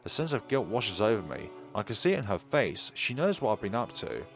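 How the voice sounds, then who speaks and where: A low-voiced man reads story narration aloud as a let's player. He delivers it like a dramatic storyteller, with faint music playing behind him. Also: big pitch swings, brisk. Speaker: let's player reading a visual novel aloud.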